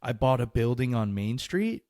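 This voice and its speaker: A man's voice: mid-range voice, very animated, moderate pace, darkish tone, no echo, studio quality. A young man co-hosting a podcast, chatting loosely with lots of fillers and laughs. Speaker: podcast co-host. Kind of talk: casual chat.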